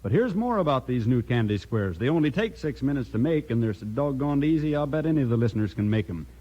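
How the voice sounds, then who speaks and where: A man's voice: mid-range voice, expressive, fast talker, lo-fi sound. A man with an American accent doing folksy, enthusiastic sponsor banter in a 1950s radio style. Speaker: radio commercial pitchman.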